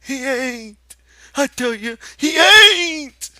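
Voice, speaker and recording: A man voicing a simple-minded giant farmhand who pleads in a high, strained wail with a rural drawl. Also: steady tone, slow, creaky, bright, forceful tone, no room sound, heavily acted. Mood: frantic.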